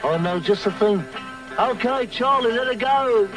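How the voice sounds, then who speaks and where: A man playing a glum, good-natured young musician in a 1960s cartoon, with a nasal, deadpan drawl. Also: very high-pitched, unhurried, far from the mic, rough recording. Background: loud music.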